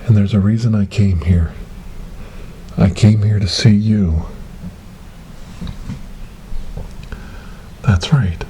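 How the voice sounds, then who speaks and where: A man playing a calm, hypnotic government paranormal investigator in a whispered ASMR horror role-play, speaking softly, breathily and deliberately. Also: on the low side, close to an everyday voice. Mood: hushed, ominous. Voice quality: soft, breathy, often dropping into whisper. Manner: hushed, deliberate, repetitive, hypnotic. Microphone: close binaural microphone. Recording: noisy close binaural recording.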